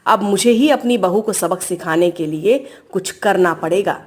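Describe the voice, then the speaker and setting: Casting: female performer as bossy older mother-in-law, scolding, grumbling, quick to threaten, domestic story drama. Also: on the low side, darkish tone, pitched below the performer's own voice, natural voice.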